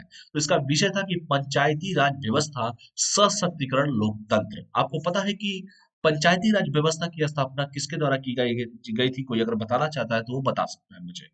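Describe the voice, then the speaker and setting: A man with a hoarse voice teaching an online exam-prep class, explaining step by step, repeating key points and asking students questions. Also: fairly high pitch, lively intonation, moderate pace, fairly bright tone, low-quality recording. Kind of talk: live online lecture.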